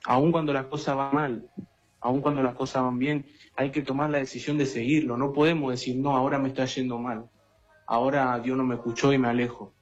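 A man's voice: mid-range voice, breathy edge. A man, preaching and testifying earnestly, repeating phrases as he addresses the listener. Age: 20s. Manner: earnest, repetitive, often addressing listener as brother. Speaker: guest lay preacher. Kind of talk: personal testimony and exhortation. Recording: dull lo-fi sound, faint music behind.

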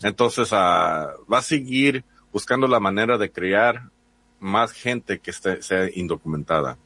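A man's voice: lowish voice, expressive, measured pace, bright, forceful tone, slightly rough. A man from the United States with a Mexican accent, a reporter answering interview questions hesitantly, with many fillers and restarts.

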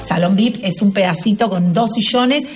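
A woman's voice: medium pitch, normal speed, soft background music. Chatty older Argentine woman, a touch distant. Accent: Rioplatense Spanish. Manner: chatty, animated storyteller who laughs often. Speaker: tarot and astrology host. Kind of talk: casual chat.

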